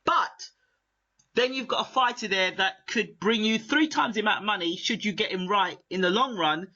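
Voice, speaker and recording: Excitable british guy asking long questions, high voice, dull muffled audio. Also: normal speed. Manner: excitable, talks in long build-ups. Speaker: interviewer. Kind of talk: animated interview chat.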